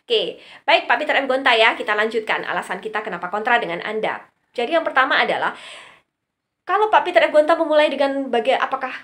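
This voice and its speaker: A woman's voice: fairly high pitch, expressive, fast, a bit bright. A middle-aged woman giving an opinion monologue to online viewers, emphatic and fond of posing questions and answering them herself. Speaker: vlog host giving opinion commentary.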